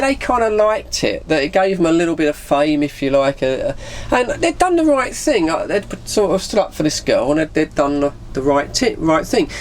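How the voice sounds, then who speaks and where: A middle-aged English man tells stories in a casual, rambling way. His voice sits a little high and moves around a lot in pitch, with faint noise behind him. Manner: informal British slang, acts out bits of dialogue. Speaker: vlogger telling personal stories. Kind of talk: casual rambling anecdote chat.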